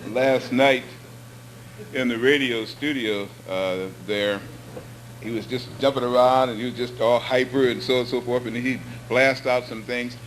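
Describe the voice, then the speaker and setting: A man's voice: medium pitch, fairly even intonation, a little slow, a little hiss or hum. A middle-aged man giving a public lecture at a podium in a hall, talking conversationally and rising to emphatic points. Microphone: stand mics on stage.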